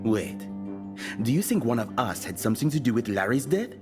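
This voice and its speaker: A man's voice: medium pitch, sing-song, brisk, natural voice. An actor playing a guarded, bitter salesman in an audio drama, speaking in a pointed, wry and insinuating way. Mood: guarded, warning. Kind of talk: scripted audio drama acting. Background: music.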